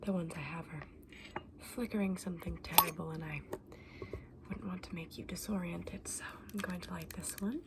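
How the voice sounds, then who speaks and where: A woman playing a kindly, eccentric fantasy shopkeeper in an ASMR roleplay, whispering softly and breathily with gentle, polite, quaint phrasing. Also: on the low side, fairly even intonation, normal speed, lightly acted. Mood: delighted, attentive. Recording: clearly audible background noise.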